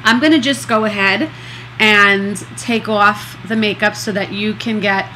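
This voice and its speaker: A middle-aged woman speaking slowly and earnestly, pausing often between thoughts. Her voice is bright and forward, a little stuffed up from congestion. A faint hum of room sound sits behind her. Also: mid-range voice, steady tone, rough recording. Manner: earnest, slow, many pauses and fillers. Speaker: vlogger recovering from nose surgery. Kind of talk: casual diary-style video.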